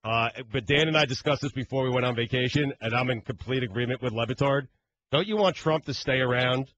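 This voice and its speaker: A man's voice: mid-range voice, a little fast, fairly bright tone, muffled recording. A man co-hosting a sports radio show, telling rambling, joking stories in casual chat. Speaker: radio co-host.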